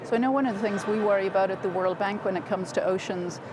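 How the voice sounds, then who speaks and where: Woman host, mid-pitched voice, upbeat, fluent delivery, traffic noise behind. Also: fast. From Ireland. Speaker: host of livestream interview series. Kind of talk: scripted host introduction and questions. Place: outdoors in a city. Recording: outdoor city noise behind.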